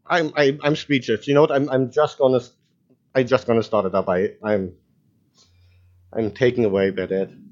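A man's voice: medium pitch, fairly even intonation, normal speed, pauses often, muffled recording. A man hosting a podcast interview in a casual, chatty style. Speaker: podcast host. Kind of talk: casual chat.

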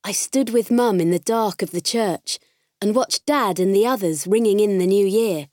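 A woman playing a spirited, candid farm girl, narrating her diary in an expressive, conversational audiobook style. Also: mid-range voice, normal speed, no echo, clean studio sound, natural voice. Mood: fond, cheerful.

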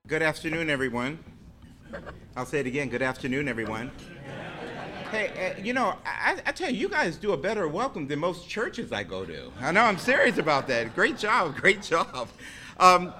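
A man giving an earnest speech to introduce a guest in a hotel banquet room, halting often and restarting phrases. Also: a little high, big pitch swings, sharp tone. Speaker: introducer, county staff member.

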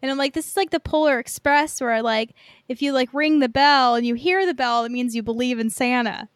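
A woman's voice: high voice, a little fast, no echo, clean studio sound. A young woman co-hosting a podcast, chatting casually about films in a rambling way full of filler "like". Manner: rambling, peppered with "like". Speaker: podcast co-host. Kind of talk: casual film review chat.

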